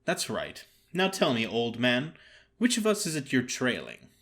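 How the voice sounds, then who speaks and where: A man's voice: medium pitch, wildly swooping pitch, a little slow, a bit bright, full character voice. A man voicing a genial stage comedian in an audio drama story, chummy, blunt and needling in manner. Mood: knowing, chummy.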